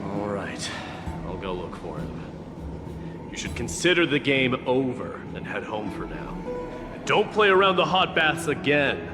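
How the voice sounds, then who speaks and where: An actor playing a proud, stern crown prince in a video game, speaking in a formal, measured, commanding way. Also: male voice, mid-range voice, very animated, in a large hall, over music. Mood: reserved concern.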